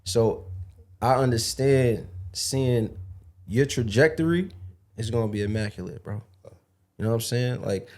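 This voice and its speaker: A man's voice: mid-range voice, a little slow, darkish tone. A man hosting a podcast interview, talking in reflective, encouraging street slang. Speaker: podcast host.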